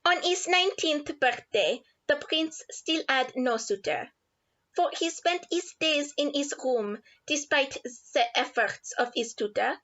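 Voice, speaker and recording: A woman's voice: sits high, lively intonation, unhurried, a bit bright. A woman storyteller giving a scripted, rhyming fairy-tale narration. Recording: slightly dull, lo-fi.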